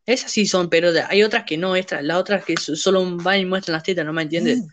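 Halting, high-voiced young man. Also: fairly even intonation, fairly bright tone, slight background noise. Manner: rambling, halting, many restarts. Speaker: podcast host. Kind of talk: casual chat.

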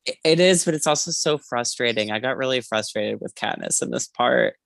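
A man's voice: mid-range voice, a little fast, creaky, fairly bright tone, no echo, studio quality. A man co-hosting a podcast, chatting casually about a book in a rambling, hesitant way full of filler words. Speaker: podcast co-host. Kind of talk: casual book discussion chat.

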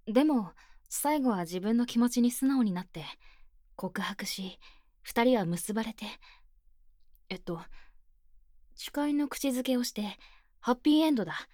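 A woman voicing an earnest, bashful high-school girl with stiff, boyish phrasing in an intimate binaural ASMR voice drama, close to the microphone. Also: dark tone, no room sound, lightly acted. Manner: stiff, boyish phrasing, shy and halting, often dropping to a whisper.

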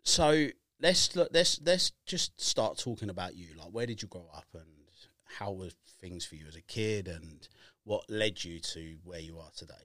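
A man's voice: deep voice, lively intonation, normal speed, bright, forceful tone, no room sound. A man hosting a podcast interview, chatty and jokey, asking long rambling questions with lots of fillers. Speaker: podcast host. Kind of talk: casual interview chat. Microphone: video podcast setup with camera.

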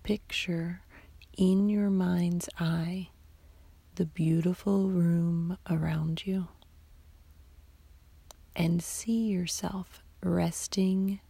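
A woman guiding a sleep meditation in a soft, half-whispered, soothing voice, leaving long pauses between short phrases. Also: on the low side, level tone, creaky, breathy edge, no echo. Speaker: meditation guide.

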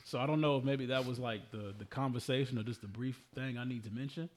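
A man's voice: medium pitch, quick pace. Man, thirties, podcast co-host, blunt, teasing, slangy casual talk. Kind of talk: casual chat.

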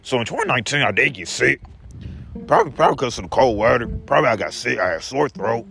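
A man's voice: medium pitch, animated, quick pace, gravelly, fairly bright tone, faint music behind. A young man talking casually to his viewers in a rambling vlog monologue, repeating each phrase back to himself in the second person. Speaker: vlogger.

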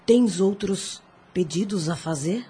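Grave, husky mother superior. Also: medium pitch, warm tone. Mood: solemn. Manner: slow, grave and measured. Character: stern elderly mother superior of a convent. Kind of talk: radio drama acting.

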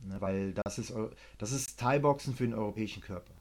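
A man's voice: on the low side, fast talker, a little mellow. A middle-aged man chatting casually on a podcast, rambling with many fillers and blunt, opinionated remarks.

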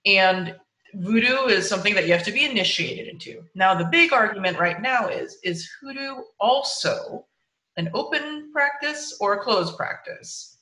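Bright, chatty podcast host. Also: mid-range voice, expressive, moderate pace, roomy sound, low-quality recording. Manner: chatty, uses "like" and "right?". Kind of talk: casual interview chat.

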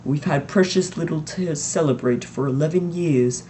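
A reader voicing a gentle, calm old male wizard with a mildly amused manner. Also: mid-range voice, normal speed, a little hiss or hum, close to an everyday voice. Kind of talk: audiobook reading.

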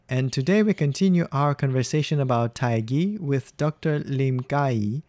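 A man hosting an audio podcast, asking an expert guest measured, formal interview questions. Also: medium pitch, a little mellow. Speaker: podcast host.

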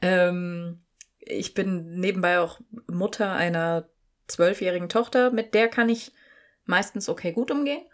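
A woman's voice: fairly low pitch, measured pace. A 29-year-old woman chatting casually as a podcast co-host, with a self-deprecating, dryly joking manner. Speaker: podcast co-host, spoken-word artist. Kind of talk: casual conversational podcast chat.

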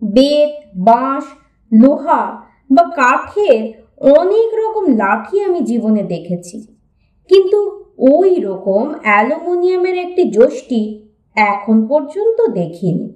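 A woman narrating a humorous story for an audiobook in an expressive, storytelling way. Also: high-pitched, takes it slowly. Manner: lively, expressive storytelling reading. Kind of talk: reading a humorous story aloud. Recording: roomy echo, voice a bit distant from mic.

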